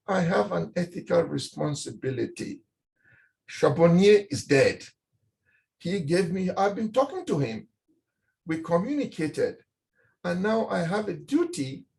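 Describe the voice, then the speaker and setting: A man from Cameroon speaks on a conference panel in a somewhat high voice with a gravelly edge. He talks haltingly, often repeating words and starting his sentences over. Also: a little mellow. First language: French and English bilingual.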